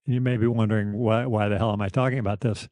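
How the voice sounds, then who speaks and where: A middle-aged or older man speaking candidly and haltingly as a podcast host, with a soft, slightly weakened voice, a slight lisp and wry reflection. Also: lowish voice, steady tone, no room sound. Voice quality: slight lisp, thick-tongued articulation. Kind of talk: reflective personal conversation.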